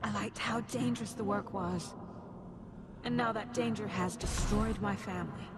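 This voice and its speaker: A woman's voice: high-pitched, lively intonation, a little slow. An actress playing a woman's bleak inner voice of guilt, despairing and self-accusing in a video game. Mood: self-blaming. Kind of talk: dramatic game voice acting. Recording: loud noisy ambience behind the voice.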